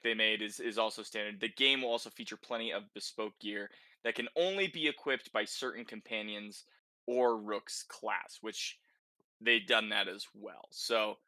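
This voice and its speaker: A man's voice: mid-range voice, sharp tone, no room sound, studio quality. A man hosting a casual, conversational podcast discussion about video games, often checking in with short tag questions. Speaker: podcast host.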